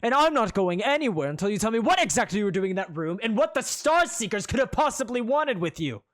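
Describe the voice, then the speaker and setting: A man's voice: high voice, big pitch swings, fast, fairly bright tone, voice pushed up. A storyteller voicing a haughty, spoiled young male prince who huffs and sneers. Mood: demanding. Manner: huffy, sneering and indignant.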